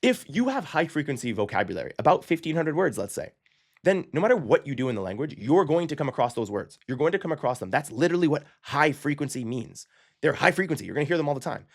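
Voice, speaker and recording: A man hosting a language-learning lesson, talking casually and explaining his method with frequent "okay?" check-ins and quick self-corrections. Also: on the high side, sing-song. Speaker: language-learning channel host.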